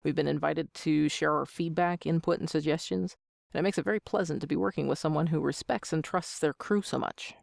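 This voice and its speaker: A woman's voice: low-pitched, fairly even intonation, a little fast, creaky, darkish tone, no echo. A woman in her thirties answering interview questions as an amateur voice actor, with a joking, self-deprecating manner. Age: 30s.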